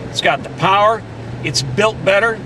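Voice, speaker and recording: A man's voice: sits high, normal speed, noisy background. An American man delivering scripted TV reporting and reviews as a reporter.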